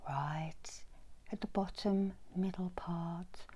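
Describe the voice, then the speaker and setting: A middle-aged woman whispering a guided ASMR meditation in a breathy, hushed, measured voice with long pauses, as a reiki healer. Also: on the low side, steady tone. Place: small indoor room. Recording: clear background noise and ambience.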